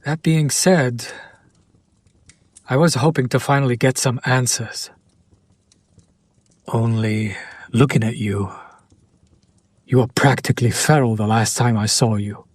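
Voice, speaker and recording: A man playing a smug, possessive demon in an intimate roleplay audio drama, teasing and deliberate with breathy pauses. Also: mid-range voice, no room sound, close to an everyday voice. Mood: puzzled, probing. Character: male demon buyer, smug, teasing, possessive, bemused by his captive.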